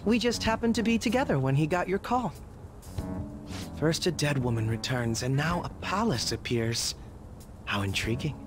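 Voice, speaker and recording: A man's voice: fairly high pitch, animated, normal speed, music in the background, close to an everyday voice. A young man voicing a coolly polite, shrewd detective in a video game, articulate and analytical. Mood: cool, intrigued.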